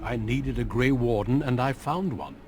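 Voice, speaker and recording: A man playing a grave, authoritative veteran warrior in a fantasy video game, speaking in a solemn, measured way. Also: medium pitch, music in the background, close to an everyday voice. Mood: stern, urgent. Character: grave commanding veteran warrior, a male Grey Warden recruiter.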